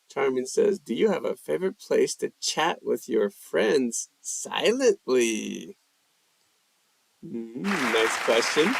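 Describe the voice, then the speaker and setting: Gravelly, unhurried man hosting a live chat. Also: medium pitch, slight background noise, rough recording. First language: English. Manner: unhurried, reads chat questions aloud, answers with fillers. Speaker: livestream language host. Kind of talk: casual live chat reading viewer questions.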